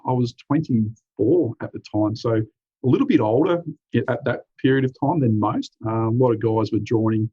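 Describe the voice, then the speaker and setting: A man in his forties with an Australian accent, an easygoing veteran telling his story in a relaxed, chatty way. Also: mid-range voice, moderate pace, husky. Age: 40s. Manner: easygoing, uses "mate", frequent "um" and "you know". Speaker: military veteran guest. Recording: dry, slightly dull lo-fi recording.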